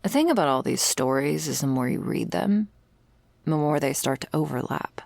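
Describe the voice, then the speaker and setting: A woman playing a jaded, sardonic vampire's servant in an intimate ASMR roleplay, talking in a wry, world-weary, gravelly way. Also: low voice, lively intonation, normal speed, darkish tone, no echo. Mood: dry, musing. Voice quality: very creaky, gravelly. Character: jaded, sardonic female vampire's servant explaining undead lore.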